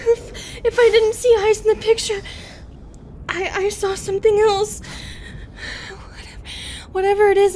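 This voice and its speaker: Woman doing a fragile medusa lady for audio roleplay, really high voice, slow n halting, pleading, remorseful. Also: a little hiss or hum. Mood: shaken, bewildered. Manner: halting, emotional, pleading delivery. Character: anguished, remorseful female medusa, tender and fragile. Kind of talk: intimate audio roleplay acting.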